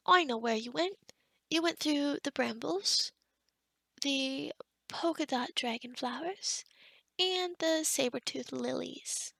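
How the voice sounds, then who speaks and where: A woman's voice: high-pitched, creaky, fairly bright tone, dry recording, full character voice. A woman playing a kind, scatterbrained forest witch in an ASMR roleplay, rambling and muttering to herself with whispery asides.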